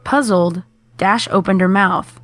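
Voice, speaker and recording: A woman's voice: mid-range voice, measured pace, a bit bright, no echo, faint music behind. A woman reading scripted horror fiction as the narrator.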